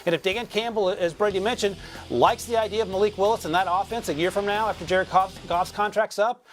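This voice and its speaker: A man breaking down draft picks in a studio sports show, energetic with wry asides. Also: high voice, brisk, faint music behind. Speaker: draft analyst.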